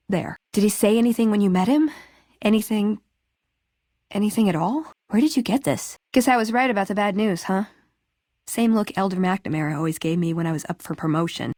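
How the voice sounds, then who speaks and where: An actress playing a wry, inquisitive young tech scribe in a video game, with an American accent and a casual, conversational delivery. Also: female voice, mid-range voice, darkish tone, no echo, studio quality, close to an everyday voice. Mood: subdued, hurt. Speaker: video game character actor.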